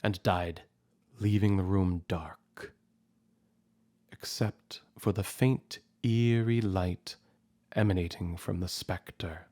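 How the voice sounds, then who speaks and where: An actor as a measured, grave narrator of an audio drama, telling the story with suspense. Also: male voice, sits low, fairly even intonation, dark tone. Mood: suspenseful, grave. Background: music.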